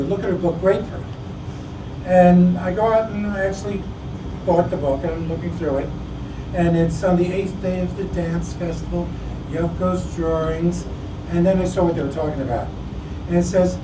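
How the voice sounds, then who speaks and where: A man, a musician guest, telling casual anecdotes in a halting, wry way. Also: sits high. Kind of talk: casual anecdotal interview chat. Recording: noisy, echoey room, distant mic.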